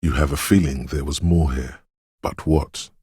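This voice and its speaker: A man voicing a detective's eerie, dreamy intuition in a video game, narrating in a husky, hushed, ominous and poetic way. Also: steady tone, measured pace, no room sound, studio quality, heavily acted. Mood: brooding, mysterious. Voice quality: husky, gravelly bass. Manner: hushed, ominous, poetic, lingering on short phrases. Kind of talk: atmospheric second-person video game narration.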